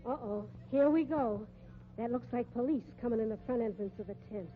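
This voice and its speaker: An actress playing a brassy, suspicious, sharp-tongued carnival woman with an American accent and slangy, needling talk in a 1950s radio drama. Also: a little high, fairly quick, close to an everyday voice. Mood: nervous. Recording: dull, humming 1950s radio recording.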